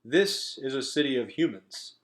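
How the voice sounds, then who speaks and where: A man's voice: mid-range voice, animated, normal speed, natural voice. A man voicing a dignified, courteous male elder in a storybook, with formal, old-fashioned phrasing. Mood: stately, informative.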